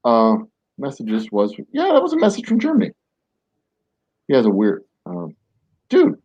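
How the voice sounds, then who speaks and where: A man's voice: medium pitch, wildly swooping pitch, moderate pace, breathy edge, no echo, lo-fi sound. A man casually commenting on a strategy game as he plays, rambling and self-mocking with many fillers. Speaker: gaming streamer. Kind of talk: casual let's-play commentary. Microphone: desk microphone.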